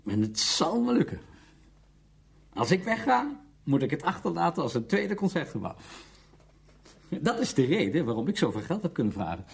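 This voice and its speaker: An actor playing a conceited, sardonic conductor with a self-important, dismissive manner in a radio play. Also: male voice, a little high, very animated, normal speed, vocal fry, pauses often. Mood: scornful, boastful. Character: conceited, sardonic male orchestra conductor, blunt and worldly.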